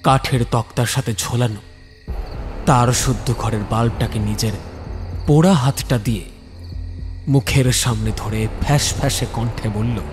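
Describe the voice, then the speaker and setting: A man's voice: medium pitch, fairly even intonation, measured pace, pauses often, over music. A man narrating a scripted ghost story dramatically, building suspense phrase by phrase.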